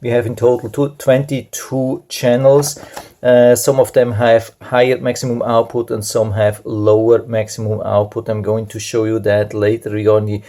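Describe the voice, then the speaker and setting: A man's voice: medium pitch, flat delivery, normal speed, warm tone. A man giving an unscripted hands-on product demonstration, explaining step by step in a repetitive way. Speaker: product reviewer.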